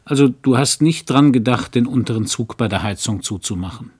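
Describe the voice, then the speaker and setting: A man's voice: mid-range voice, a little fast, a little mellow, husky, close to an everyday voice. A man reading a good-natured but plodding small-town doctor husband in an audiobook, rambling and mildly grumbling.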